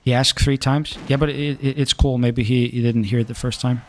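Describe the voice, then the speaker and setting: Relaxed Danish man chatting while gaming. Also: mid-range voice, a little hiss or hum. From Denmark. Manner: halting, restarts often, relaxed. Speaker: gaming streamer. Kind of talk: casual chat reading viewer questions.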